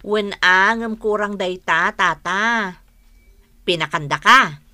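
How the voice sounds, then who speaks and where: Sharp-voiced nosy neighbour. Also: female voice, medium pitch, takes it slowly, no echo. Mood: nosy, scolding. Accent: Ilocano. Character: nosy, outspoken village woman neighbour. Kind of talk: Ilocano radio comedy drama acting.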